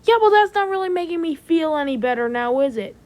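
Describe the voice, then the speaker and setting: A fairly high voice plays a stressed rocket company staffer, acted by a woman. The delivery is naturalistic amateur audio drama, exasperated and somewhat hesitant. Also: animated, fast talker, a little mellow. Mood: panicked, upset.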